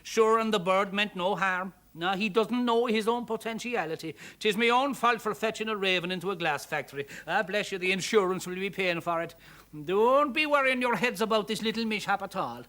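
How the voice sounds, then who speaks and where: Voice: mid-range voice, a little fast, sharp tone, voice pushed up, lightly acted. Hearty, lilting Irish factory man, played by a narrator. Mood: reassuring. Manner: folksy, lilting, emphatic. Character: hearty Irish factory man, kindly, booming, quick to reassure.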